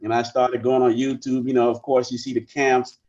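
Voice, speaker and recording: A man in his forties who grew up in the Bahamas, speaking as a guest musician in a relaxed, meandering way. His voice sits at an ordinary middle pitch. The sound is dull and lo-fi, as if slightly muffled. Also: moderate pace. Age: 40s. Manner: relaxed, rambling with many fillers. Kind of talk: casual interview chat.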